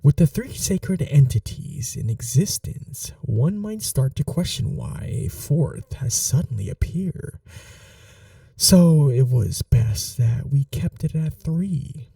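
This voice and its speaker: A man playing a scheming young prince and possessive lover in a soft-spoken fantasy ASMR roleplay, speaking formally and deliberately with menacing tenderness. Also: fairly high pitch, animated, gravelly, no room sound, recorded from a distance, full character voice. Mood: smug, calculating.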